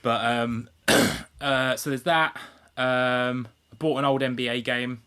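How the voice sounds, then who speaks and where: A man's voice: mid-range voice, fairly even intonation, slow pace, a bit bright, clean tone. Laid-back, sweary British man chatting. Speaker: podcast co-host. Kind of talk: casual chat. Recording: internet call recording.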